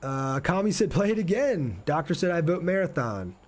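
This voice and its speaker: A man's voice: fairly high pitch, sing-song, moderate pace. A man chatting casually and informally on a game livestream, reading out viewer comments and joking at his own expense.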